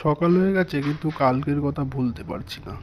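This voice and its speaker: A man narrates a first-person ghost story in a mellow, soft-edged voice. His pitch sits a little high for a man, and faint noise runs behind him. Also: brisk.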